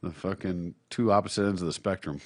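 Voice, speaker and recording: A man's voice: low voice, a little fast. An American man co-hosting a podcast in casual banter, reacting with crude, sarcastic jokes. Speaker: podcast co-host.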